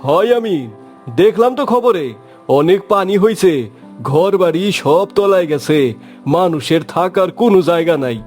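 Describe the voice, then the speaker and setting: Man as high-pitched cartoon father. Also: moderate pace, a little mellow, faint music behind, natural voice. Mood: concerned. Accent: colloquial Bangladeshi. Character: practical, caring village father in cartoon. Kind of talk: Bengali cartoon dubbing.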